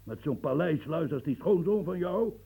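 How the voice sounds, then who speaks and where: An embittered old marshal, gravelly, dark and mellow, stammering, radio drama. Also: male voice, fairly high pitch, expressive, moderate pace, full character voice, low-quality recording. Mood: bitter, worked-up. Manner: stammering, repeats words when agitated. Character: aging embittered male French marshal, proud and restless. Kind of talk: radio play acting.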